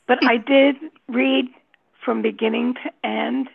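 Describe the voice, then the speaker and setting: A woman in a book discussion group speaks slowly and hesitantly, trailing off between thoughts. Her voice is gravelly and a touch husky, and it sits a little high. She comes through a thin, phone-like line. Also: steady tone. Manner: slow, hesitant, many pauses and fillers. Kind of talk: casual discussion.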